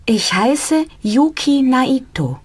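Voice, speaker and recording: A woman plays a polite young student asking simple questions in a language-course dialogue. Her voice sits fairly high with lively intonation and a touch of breathiness. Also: slow pace, husky, exaggerated voice. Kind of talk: slow scripted learner dialogue.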